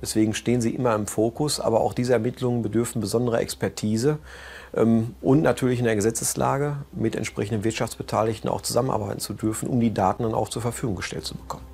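A man's voice: mid-range voice, steady tone, quick pace, vocal fry, a little mellow, husky. A man explaining as an expert in an interview, with formal, hesitant phrasing.

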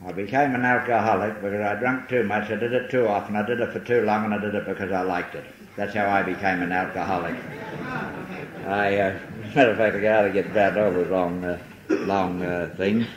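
A man's voice: fairly low pitch, steady tone, a little fast, lo-fi sound. An older man with a Liverpool accent, giving a rambling, self-mocking personal testimony at a recovery meeting. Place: large hall. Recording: echoey hall, faint hiss on old tape.

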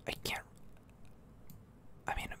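A gamer whispering ASMR commentary over gameplay, close to the microphone, musing in an unhurried, hesitant way. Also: pushed and bright.

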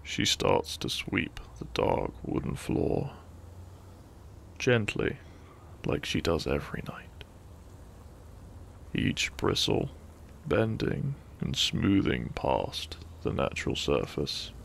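A man narrating a gentle bedtime story in ASMR style, soft, breathy and soothing, often close to a whisper. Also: low-pitched, very animated, takes it slowly, long pauses, slight background noise. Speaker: sleep-aid audio narrator.

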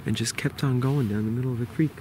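A man in his forties with a New York accent, quietly explaining a hunt to a close-held camera outdoors in the woods. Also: steady tone, fast talker, a little hiss or hum. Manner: quiet, low-key explaining. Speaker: bowhunter. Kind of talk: hushed outdoor hunting vlog. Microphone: camera held close to face.

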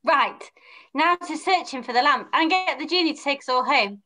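A woman's voice: sits high, animated, normal speed, pushed and bright. A young woman playing a brave, earnest young male hero in an amateur pantomime read-through on a video call. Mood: resolute.

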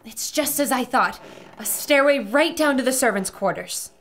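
An actress playing a sharp-witted adventurer in a pulp radio serial, brisk, articulate and dramatic as she reports to listeners. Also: high voice, lively intonation, soft background music. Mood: keen, deducing.

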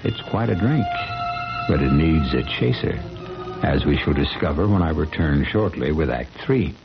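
A man hosting a 1970s radio drama, narrating in a theatrical, measured, wry way. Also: rumbling low, expressive. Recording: muffled vintage radio recording, music behind.

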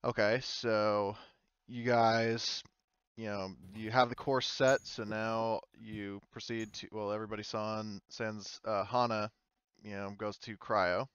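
A man's voice: fairly low pitch, level tone, unhurried, a bit bright, smooth, no echo, dull audio. A man acting as game master, informally narrating and explaining an online tabletop roleplaying game with hesitant phrasing.

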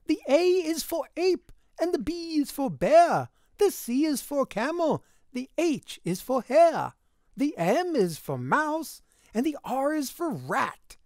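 High-voiced, animated woman reading a storybook. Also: slow, dry recording, clean studio sound. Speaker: storybook reader. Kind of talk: expressive read-aloud of a rhyming children's picture book. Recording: music behind the voice. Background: music.

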